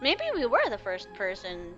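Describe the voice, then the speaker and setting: A woman's voice: mid-range voice, wildly swooping pitch, moderate pace. A woman giving casual, playful, gushing reaction commentary as she plays a game. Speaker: gaming video creator. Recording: dull lo-fi mic, faint game music.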